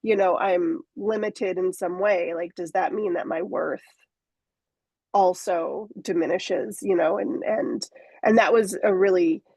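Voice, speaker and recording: A woman's voice: on the low side, gravelly, darkish tone, breathy edge, no room sound. Reflective woman in her forties, dry sound. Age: 40s. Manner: reflective, halting, many fillers and restarts. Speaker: coaching client. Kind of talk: candid conversational interview.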